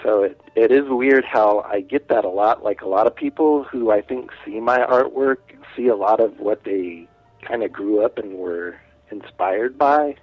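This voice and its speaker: A man's voice: fairly low pitch, normal speed, dry recording, faint music behind. A man in his late forties, a guest artist, chatting casually and rambling a bit over a phone line. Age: 40s. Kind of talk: casual interview chat.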